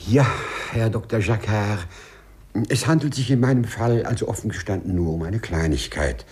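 A man's voice: lowish voice, moderate pace, a little mellow, slightly rough. An actor playing a timid, overly correct male civil servant with hesitant, formal phrasing in a 1950s radio play. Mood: embarrassed, hesitant.

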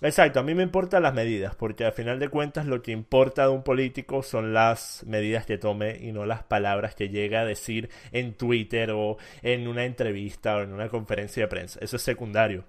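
A man's voice: on the low side, fairly even intonation, brisk, few pauses. A man from Venezuela hosting a debate, arguing assertively and emphatically. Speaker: debate host. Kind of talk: casual debate.

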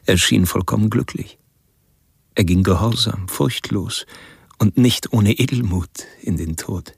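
An actor playing a cerebral, cold-blooded killer confiding detached, measured philosophical thoughts in a radio play. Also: male voice, on the low side, gravelly, breathy edge, heavily acted. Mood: coldly reflective. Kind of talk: first-person monologue in a radio play. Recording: music behind the voice.

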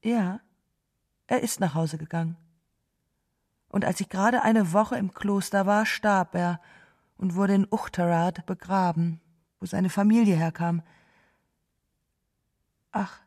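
An actress playing a gentle, grieving wife who remembers a lost love in a halting, subdued way in a radio drama. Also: female voice, medium pitch. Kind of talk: literary radio drama monologue.

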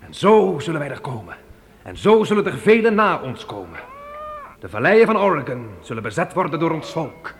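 An actor playing a determined pioneer farmer leading a wagon train in a radio play, earnest and declamatory. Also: male voice, a little high, very animated, normal speed. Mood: resolute. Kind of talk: Dutch radio play acting. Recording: noisy old radio play recording.

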